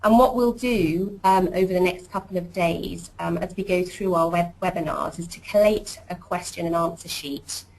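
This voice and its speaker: A woman's voice: lowish voice, moderate pace, hardly any pauses, low-quality recording. Chatty woman hosting a webinar. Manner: warm, chatty, many ums and uhs. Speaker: webinar host, programme director. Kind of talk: presenting a corporate webinar.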